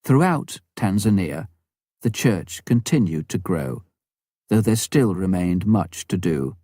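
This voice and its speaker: A man reading a missionary story as an audiobook narrator, with a measured storytelling delivery. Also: low voice, animated, mellow, no room sound, studio quality.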